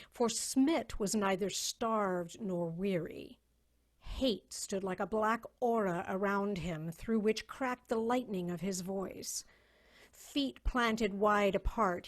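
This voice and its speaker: A woman narrator giving a measured, literary dramatic reading of a story over a video call. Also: mid-range voice.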